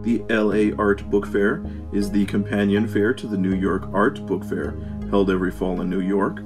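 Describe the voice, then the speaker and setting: A man's voice: on the low side, level tone, normal speed, loud music behind the voice. A man narrating a travel vlog as its host, reading from a script.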